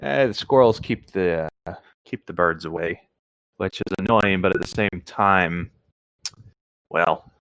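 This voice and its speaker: A man co-hosting a story reading show in a casual, laid-back and somewhat halting way, reading aloud and chatting. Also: low voice, a bit bright, no room sound.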